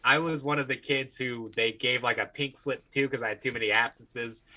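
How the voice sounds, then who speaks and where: An American man with a bright, punchy voice at a medium pitch. He talks as a podcast co-host, casual and blunt, telling crude, joking stories. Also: fairly even intonation, moderate pace. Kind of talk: casual chat, profane storytelling.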